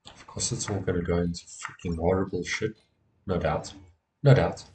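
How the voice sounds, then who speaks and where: A man's voice: sits low, steady tone, moderate pace, a little mellow, a little hiss or hum. A man streaming a video game, commenting casually to himself, often repeating short phrases and swearing mildly. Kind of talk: casual live gameplay commentary, talking to himself. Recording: game music behind the voice. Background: video game music.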